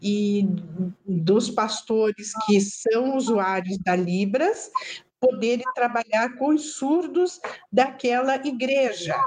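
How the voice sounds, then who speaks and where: A woman with a slightly raised pitch leads an interview in a relaxed conversational way. She encourages her guest and adds warm affirming remarks along the way. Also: slow.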